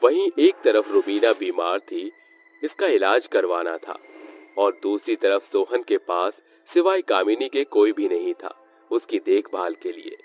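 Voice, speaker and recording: A man's voice: fairly low pitch, expressive, unhurried. A man narrating a scripted story as a storyteller. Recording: muffled lo-fi sound with background music.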